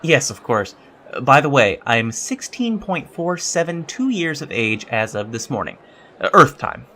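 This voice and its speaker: A man's voice: medium pitch, sing-song, unhurried, bright, forceful tone, slight background noise, exaggerated voice. A man playing a polite, precocious teenage alien cadet in a sci-fi audio drama, earnest and slightly hesitant. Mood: polite, good-humored. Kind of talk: scripted audio drama dialogue.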